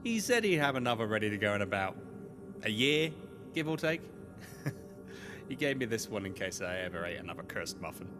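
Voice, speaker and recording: A man's voice: lowish voice, very animated, brisk, long pauses. A man playing a loyal, slightly anxious young adventurer's sidekick in an audio drama, earnest and questioning. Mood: earnest, helpful. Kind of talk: scripted audio drama dialogue. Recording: long reverb, music behind.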